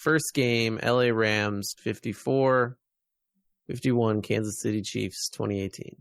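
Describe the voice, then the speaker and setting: A man's voice: on the low side, unhurried, no echo, studio quality. A man co-hosting a podcast, chatting casually about sports. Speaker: podcast co-host.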